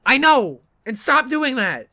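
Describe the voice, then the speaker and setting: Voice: high-pitched, big pitch swings, slow pace, sharp tone, dry recording, clean studio sound, exaggerated voice. An actor playing a sarcastic, fed-up young student in an audio drama, blunt and sweary. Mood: exasperated.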